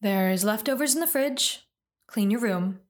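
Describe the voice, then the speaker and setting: A woman playing a brisk mother voicing a household note in an audio drama. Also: medium pitch, expressive, lightly acted. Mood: matter-of-fact.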